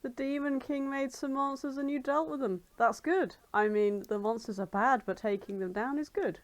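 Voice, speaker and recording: A woman's voice: sits high, normal speed, no echo, voice pushed up, lightly acted. Woman reading as a matter-of-fact young hero. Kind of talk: dramatic fan fiction reading.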